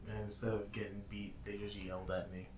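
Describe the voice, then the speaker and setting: A woman's voice: a bass voice, flat delivery, a little fast, noisy background. A woman co-hosting a podcast, chatting casually and sometimes searching for words. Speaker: podcast co-host. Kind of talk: casual chat.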